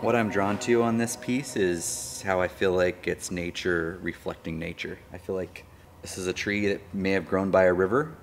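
Documentary subject: thirties man, deep even voice, reflective and unhurried, music under. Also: a little hiss or hum. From United States. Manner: soft-spoken, reflective, unhurried. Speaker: furniture maker. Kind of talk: documentary interview.

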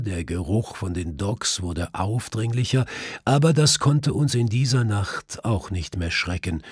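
A man's voice: on the low side, darkish tone, slightly rough, dry recording, studio quality. A man reading a literary detective story as an audiobook narrator.

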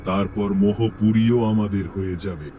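A man's voice: lowish voice, steady tone, mellow, slight background noise, natural voice. A man voicing a commanding, cautious demon overlord in a horror fairy-tale cartoon. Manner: commanding, measured. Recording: music under the voice.

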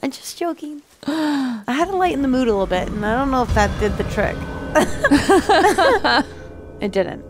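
A woman's voice: high-pitched, moderate pace, pauses often, background noise. A young woman co-hosting a reaction video with casual, conversational commentary. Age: young adult. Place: indoor streaming room. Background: game audio with music.

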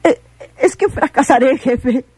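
An actress with a Mexican accent playing a frightened, hesitant underling of a crime boss in a radio drama. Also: female voice, sits high, a little slow, darkish tone. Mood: pleading. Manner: hesitant, timid, pleading.

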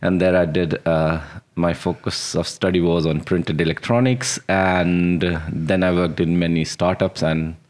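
A man's voice: low-pitched, flat delivery, a little slow, hardly any pauses, a little mellow. A man in his forties with an Indian accent, a startup founder answering interview questions earnestly in a small studio. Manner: earnest, with restarts and short laughs. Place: small studio room with dark curtains. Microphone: boom-arm podcast mic on the table.

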